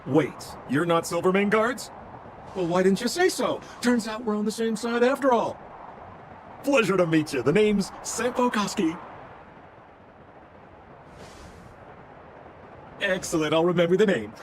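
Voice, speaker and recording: A man's voice: high-pitched, expressive, moderate pace, slight background noise. A man voicing a slick, theatrical male con-man relic dealer in a video game, with glib, wheedling salesman patter. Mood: ingratiating, scheming.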